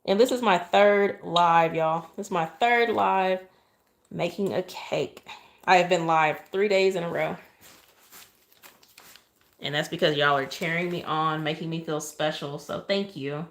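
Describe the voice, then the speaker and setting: A woman from Oklahoma with a Southern accent and a soft, calm voice, chatting casually on a livestream while she decorates a cake and keeps thanking viewers. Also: fairly low pitch, unhurried. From Oklahoma, USA. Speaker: cake decorator live-streaming. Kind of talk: casual livestream chat while piping icing. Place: indoor kitchen counter.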